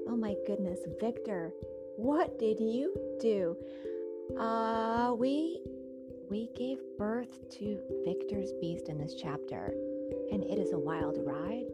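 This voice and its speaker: Woman podcast reader, expressive storytelling n chatty, normal pitch, music really loud behind her. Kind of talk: literary narration and casual chatty asides.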